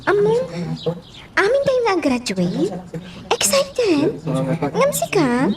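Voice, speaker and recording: Emotional high-voiced radio drama woman actress. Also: sing-song, takes it slowly, over a noisy crowd, full character voice. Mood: concerned. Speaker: actor. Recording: very noisy radio drama recording.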